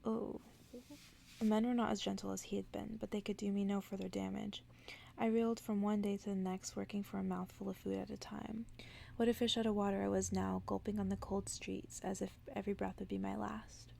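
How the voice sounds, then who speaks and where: A woman reading a bedtime story aloud in ASMR style, soft-spoken and often dropping into a whisper. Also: mid-range voice, flat delivery, brisk, gravelly, slight background noise.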